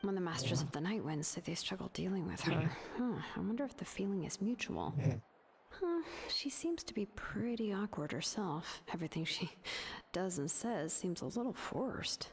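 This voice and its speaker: A woman's voice: fairly low pitch, very animated, normal speed, a little hiss or hum. A woman playing an easygoing young craftswoman in a game, candid and teasing, with a laid-back, wry manner. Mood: wry musing.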